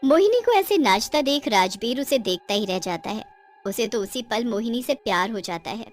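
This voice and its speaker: A woman's voice: fairly high pitch, animated, normal speed, soft background music. A woman narrating a scripted cartoon story in a dramatic storytelling style.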